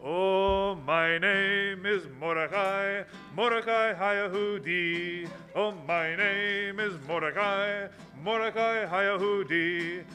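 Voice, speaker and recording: Guy doing a puppet hero song, high voice, slow, music behind him. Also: fairly even intonation, pushed and bright, exaggerated voice. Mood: proud singing. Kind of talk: children's puppet show singing.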